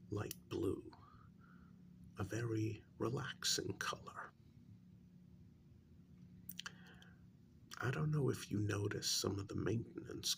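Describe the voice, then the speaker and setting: A man playing a calm clinical researcher in an ASMR role-play, speaking softly and gently in a breathy, creaky near-whisper with deliberate pauses. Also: fairly low pitch, heavily acted. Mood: calm, careful. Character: calm clinical male researcher gently interviewing a werewolf patient.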